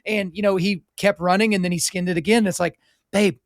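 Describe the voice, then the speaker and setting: Man in his thirties, podcast host, high voice, quick rambling chat, dry sound. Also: steady tone, dry recording. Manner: rapid, rambling, talks through thoughts aloud. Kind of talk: casual interview chat.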